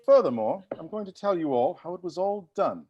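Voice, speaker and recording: A woman's voice: lowish voice, lively intonation, a little fast, highs cut off, over loud music, close to an everyday voice. A woman playing a theatrical, exclamatory butler in a comedy mystery table read. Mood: grave, commanding. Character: flustered, theatrical butler taking charge of a mystery.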